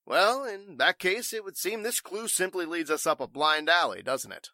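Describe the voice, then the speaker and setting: A man's voice: sits high, expressive, moderate pace, bright, forceful tone, no echo, clean studio sound, exaggerated voice. A man playing a flustered, evasive warehouse owner in 1940s radio drama style, stammering and speaking with stuffy formality. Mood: polite, dismissive.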